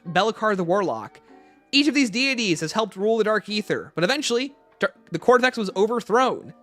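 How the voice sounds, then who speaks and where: A man's voice: sits high, fairly bright tone. A man hosting a livestream, explaining and answering viewer questions off the cuff. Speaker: livestream host.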